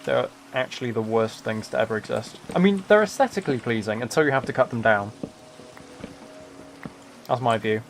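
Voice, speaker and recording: A man's voice: mid-range voice, animated, moderate pace, long pauses. A man chatting casually as he hosts a live game stream, rambling along and commenting on himself with a lightly wry manner. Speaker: streamer, host. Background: background music.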